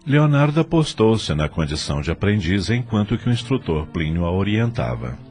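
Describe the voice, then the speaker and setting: A man's voice: on the low side, sing-song, normal speed, darkish tone, husky. A man with a Brazilian accent giving scripted narration for an audio drama in a solemn, formal storytelling manner.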